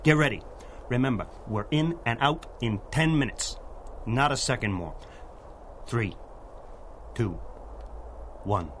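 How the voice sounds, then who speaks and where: Tough, irritable male mobster man acting, lively swings, barking streetwise period radio delivery. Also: mid-range voice, takes it slowly, long pauses, background noise. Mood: bossy, irritable. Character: gruff, bossy, short-tempered 1930s gangster foreman. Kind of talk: 1930s-style radio drama acting.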